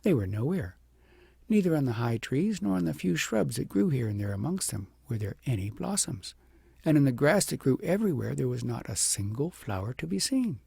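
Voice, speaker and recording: Gravelly man reading a storybook. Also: mid-range voice, sing-song, a little fast, dark tone, no echo. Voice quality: gravelly, husky. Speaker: audiobook narrator. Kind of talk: expressive scripted storybook narration.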